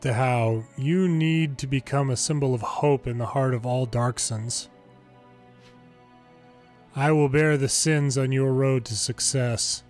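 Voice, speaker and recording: A man's voice: mid-range voice, takes it slowly, darkish tone. A man reading video game story dialogue aloud in the manner of an amateur longplay narrator. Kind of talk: reading game story text aloud, casual commentary asides. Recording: game music under the voice. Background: video game music.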